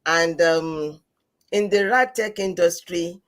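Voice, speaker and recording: A woman from Nigeria joining as the guest, introducing herself with excitement. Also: on the low side, slow.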